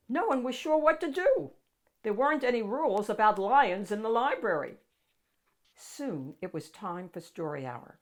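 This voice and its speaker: Lively woman reading a children's story. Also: fairly high pitch. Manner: expressive, dramatic emphasis. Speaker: children's book read-aloud host. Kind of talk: animated picture-book storytelling.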